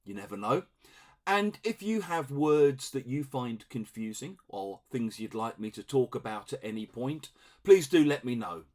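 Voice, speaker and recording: A middle-aged man explaining vocabulary to learners in a relaxed, conversational way, acting out short example dialogues. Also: medium pitch, normal speed. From England. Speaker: English teacher.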